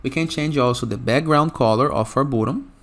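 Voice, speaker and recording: Lower-voiced male tutorial host over music. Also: fairly quick. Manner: instructional, often checking with "okay?". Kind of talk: software tutorial narration.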